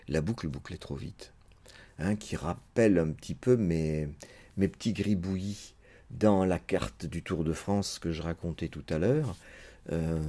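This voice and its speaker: Low, dark, husky-voiced man lecturing. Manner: hesitant, thinking aloud with many fillers. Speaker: psychoanalyst lecturing. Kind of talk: unscripted spoken lecture.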